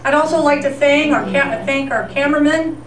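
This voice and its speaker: A woman's voice: sits high, moderate pace, very noisy. A woman giving an informal thank-you speech as the director at a community event. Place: large indoor room with an audience, voice from far off. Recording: echoey crowded hall, distant mic.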